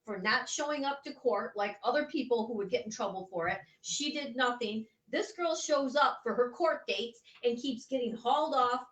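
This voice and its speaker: A woman's voice: fairly high pitch, moderate pace, few pauses, a bit bright. A woman on a live-streamed talk show, speaking bluntly and indignantly, sometimes swearing. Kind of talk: casual talk show discussion. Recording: roomy echo.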